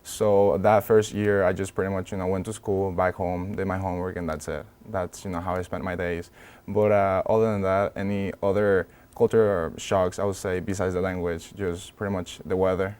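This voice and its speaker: A man's voice: sits low, flat delivery, normal speed, darkish tone. A young man from Venezuela, chatting easily as an interview guest and often saying "you know". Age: young adult. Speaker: student guest. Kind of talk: casual interview talk.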